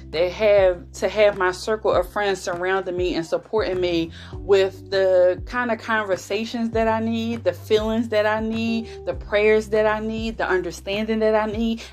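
American woman podcasting casually over music. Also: mid-range voice. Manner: conversational, emphatic, repeats phrases for stress. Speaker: podcast host. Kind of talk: casual heartfelt solo podcast talk. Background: background music.

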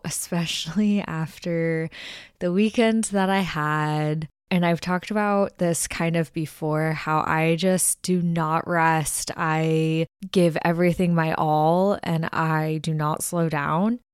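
A woman hosting her own podcast, talking casually and rambling from one thought to the next with plenty of filler words. Her voice sits a little low and comes through smooth and clean, with a slight allergy-stuffed nasal edge. The close, dry recording keeps every word upfront. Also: no echo. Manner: chatty, rambling, frequent "like" fillers. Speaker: podcast host. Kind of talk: casual solo podcast chat.

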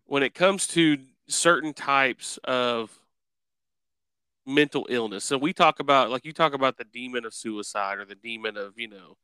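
Bright, clear male podcast interviewer. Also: medium pitch, moderate pace, no room sound, rough recording. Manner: rambling, circles around his question. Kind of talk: casual interview chat.

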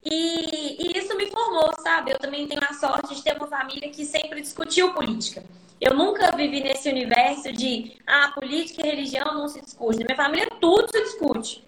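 High-voiced Mineiro woman in an echoey room. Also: a little fast, a bit bright. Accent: Mineiro Brazilian Portuguese. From Minas Gerais, Brazil. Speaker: guest, politician.

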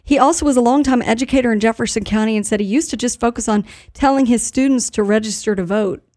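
Mellow-voiced woman hosting radio news. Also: a little high, quick pace. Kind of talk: conversational news recap introducing sound clips.

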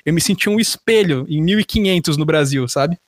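Brazilian male podcaster, highish pitch, close dry sound, loose slangy storytelling with swearing. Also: a little fast, no room sound. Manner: slangy, foul-mouthed. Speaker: podcast host. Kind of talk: casual chat, animated storytelling.

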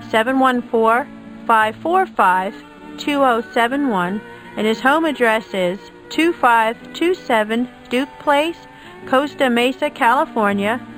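A woman reading a scripted radio announcement, deliberately spelling out details. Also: on the high side, a bit bright, poor audio.

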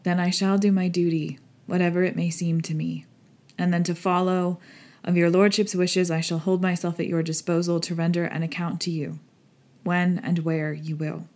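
A woman's voice: on the low side, little pitch movement, moderate pace, a little mellow, natural voice. A woman acting a grave, elderly professor. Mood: grave, stern. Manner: solemn, earnest, formal. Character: grave, pitying elderly male professor, stern yet kind. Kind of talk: audiobook character acting.

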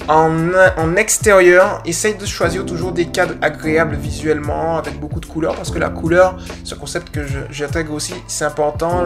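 A man with a slight Guadeloupean French accent hosting a dog-training podcast, giving chatty, enthusiastic advice and poking fun at himself. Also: fairly high pitch.

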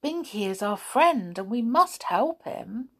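A woman voicing a determined young girl in a storybook, speaking earnestly and a little higher. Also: big pitch swings, slow, husky, heavily acted.

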